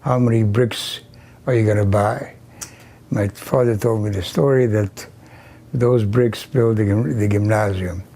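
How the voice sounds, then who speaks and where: An older man, Yiddish his mother tongue, tells his life story at a very slow pace, pausing with ums and restarting words. His voice is dark and a little husky. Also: fairly low pitch, steady tone. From Carpathian region of Czechoslovakia. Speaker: interviewee. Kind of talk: oral history interview.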